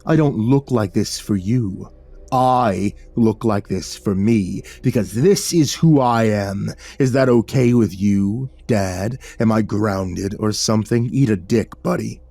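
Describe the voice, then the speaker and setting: A man voicing a sulky, scornful goth demon lord giant who talks slowly in a low, rumbling voice. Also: vocal fry, dark tone, no room sound, full character voice. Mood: petulant. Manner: slow, sneering, petulant.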